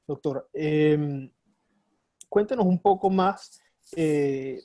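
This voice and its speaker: A man's voice: on the high side, very slow, mellow, no room sound, clean studio sound. A man hosting an interview, courteously asking his guest questions and addressing him as doctor. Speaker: interview host.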